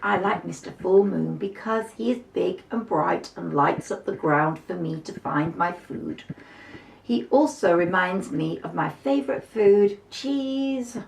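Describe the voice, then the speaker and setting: A woman voicing a worried, hungry little boy mouse in a high, plaintive, childlike way while reading a storybook aloud. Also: a little slow, gravelly. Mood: hungry, fed up. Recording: echoey room, distant mic, faint noise.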